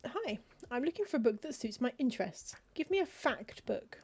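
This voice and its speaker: A woman's voice: on the high side, very animated, moderate pace, a little mellow, husky. A woman chatting casually as she plays a cozy game, muttering through lists of book titles with wry asides. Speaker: streamer playing a cozy game. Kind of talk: casual gameplay commentary, reading game text aloud.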